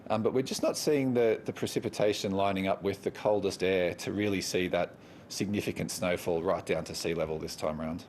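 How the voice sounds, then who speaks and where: Low-voiced man, faint rumble behind. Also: fairly quick, a little mellow. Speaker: weather forecaster interviewee. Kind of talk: unscripted news interview comments.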